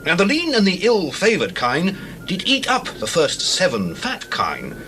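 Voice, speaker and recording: A man's voice: high voice, huge pitch swings, a little slow, bright, forceful tone, soft background music, exaggerated voice. An actor playing a troubled, imperious ancient Egyptian king in a dramatized scripture reading, formal and declamatory. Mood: troubled, urgent. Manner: formal, declamatory, archaic diction.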